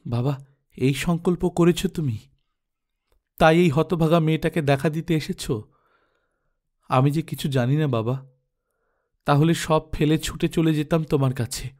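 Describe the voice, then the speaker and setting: A man's voice: on the high side, a little slow, long pauses, darkish tone, lightly acted. Man voicing a plaintive, devoted married daughter. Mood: heartbroken. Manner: pleading, effusive.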